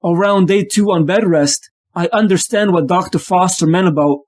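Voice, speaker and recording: Man acting a high-voiced, tormented vigilante narrator. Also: normal speed, darkish tone. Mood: brooding, troubled. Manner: brooding, confessional internal monologue. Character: tormented, ailing male vigilante losing his sanity. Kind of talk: dramatic first-person comic narration.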